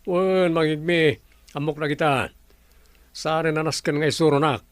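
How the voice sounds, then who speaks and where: A man's voice: fairly high pitch, fairly even intonation, unhurried, no room sound, lightly acted. A Filipino man playing an eager, overbearing resort boss in a comedy radio drama. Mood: eager, insistent.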